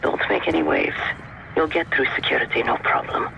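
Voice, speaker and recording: A man's voice: a little high, fairly quick, creaky, pushed and bright, muffled recording, soft background music, exaggerated voice. A man playing a confident covert handler in a video game, briefing an agent in an assured, instructing way. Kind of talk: video game cutscene acting.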